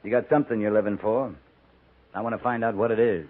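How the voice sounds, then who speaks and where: Low-voiced, tough cavalry captain, played by a man. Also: fairly even intonation, fast, phone-quality sound, natural voice. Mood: firm, unflinching. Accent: American. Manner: firm, laconic, commanding. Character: steady, tough, plain-spoken US cavalry captain. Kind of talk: 1950s radio western acting. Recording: band-limited 1950s radio recording.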